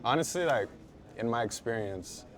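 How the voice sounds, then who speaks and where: A man's voice: medium pitch, lively intonation. A man from Haiti, a native Creole speaker, chatting over lunch in a restaurant and eagerly agreeing with his guest. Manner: quick, affirming, agreeable, says yeah a lot. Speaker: host. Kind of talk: casual conversation. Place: busy restaurant. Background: restaurant crowd chatter.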